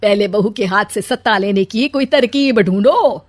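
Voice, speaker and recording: A woman's voice: mid-range voice, moderate pace, close to an everyday voice. A woman playing a bitter, plotting older mother-in-law in a cartoon story, whining and scolding dramatically. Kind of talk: Hindi cartoon story acting.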